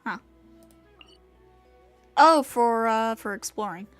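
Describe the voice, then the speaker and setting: A woman livestreaming a game, chatting casually and reacting out loud to what happens on screen. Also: fairly high pitch, lively intonation, slow, no echo. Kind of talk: casual livestream gaming chat. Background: game music.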